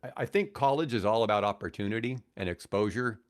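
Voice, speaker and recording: A man's voice: lowish voice, fast. A man in his sixties telling stories casually as a podcast guest, rambling and circling back to rephrase. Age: 60s. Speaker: podcast guest, former radio broadcaster. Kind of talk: casual storytelling in a podcast interview.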